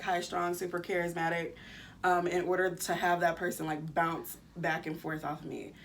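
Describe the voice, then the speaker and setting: Woman co-host, low gravelly voice, relaxed chat, faint hiss behind. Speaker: podcast co-host. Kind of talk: casual podcast chat.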